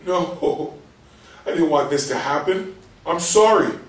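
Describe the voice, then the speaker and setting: A man's voice: on the high side, animated, takes it slowly. An actor in a staged play reading playing a guilt-ridden, bitter husband who hides that he can talk, recorded in a roomy space. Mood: anguished. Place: roomy indoor space, far from mic. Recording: roomy, distant mic with faint noise.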